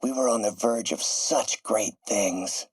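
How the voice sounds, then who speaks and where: An actor voicing a grandiose, middle-aged scientist turning villain in a video game, theatrical and erudite, with precise enunciation. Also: male voice, lowish voice, takes it slowly, breathy edge, dry recording. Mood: dejected. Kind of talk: video game voice acting, dramatic monologue.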